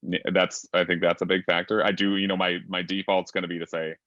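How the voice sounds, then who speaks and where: Man host, very deep voice, rambling chatty talk, dull lo-fi dry call audio. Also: level tone, fast talker, a bit bright, no room sound. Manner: chatty, rambling with many fillers. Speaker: panel host. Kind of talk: casual panel discussion over video call. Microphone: video call.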